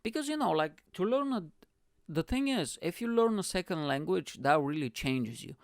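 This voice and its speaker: A man hosts a casual podcast, telling animated stories and acting out the people in them. His voice sits a little high and carries a gravelly fry, yet stays smooth and resonant. The recording is dry and close. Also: normal speed, dry recording. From Bulgaria. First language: Bulgarian. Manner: animated, laughs often, acts out quoted people. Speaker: podcast host. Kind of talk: casual storytelling monologue.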